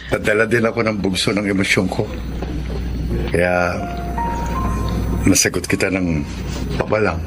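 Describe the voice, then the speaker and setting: Casting: earnest Filipino relative, lowish male voice, radio drama with music. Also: steady tone, measured pace, long pauses. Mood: apologetic.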